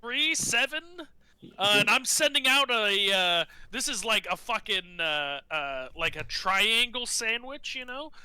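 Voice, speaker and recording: A man's voice: high-pitched, animated, a little slow, bright, forceful tone, clear, resonant tone, dry recording. A man playing a tabletop role-playing game, chatting casually in a joking, foul-mouthed way.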